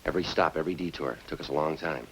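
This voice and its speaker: Very deep-voiced, deadpan police sergeant. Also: male voice, rapid-fire, a little hiss or hum, rough recording. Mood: dry, pressing. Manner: clipped, deadpan, matter-of-fact. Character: terse, unflappable male police sergeant narrating his case. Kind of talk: 1950s radio police drama acting.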